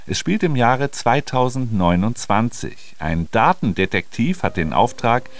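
A man's voice: mid-range voice, big pitch swings, measured pace, soft background music. A male radio host reading a scripted introduction to a programme.

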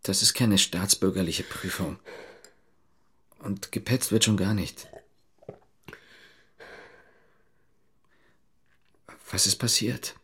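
A man's voice: low-pitched, measured pace, a bit bright, slightly rough, heavily acted. An actor playing a sceptical, terse man who probes with questions in a naturalistic radio play. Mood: defensive. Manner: probing, terse. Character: sceptical, probing East German man loyal to his state.

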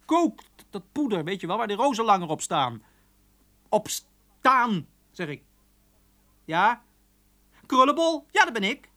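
A man's voice: high-pitched, sing-song, unhurried, long pauses, bright, forceful tone, exaggerated voice. An actor playing a chatty, cheeky young male switchboard operator in a radio drama, breezy and familiar with a chuckling manner. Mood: chatty, flustered. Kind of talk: naturalistic Dutch radio drama acting.

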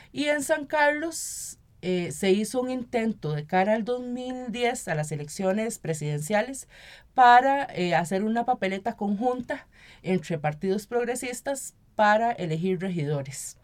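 A Costa Rican woman speaking as an interview guest in an earnest, deliberate, formal explanatory way. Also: mid-range voice. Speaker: interview guest, activist and municipal representative. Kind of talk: radio interview, explanatory talk.

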